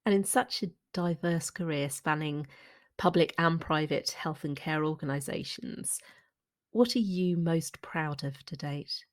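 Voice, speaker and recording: A woman's voice: low-pitched, unhurried. A woman hosting a podcast, reading a polished scripted introduction and asking interview questions. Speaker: podcast host.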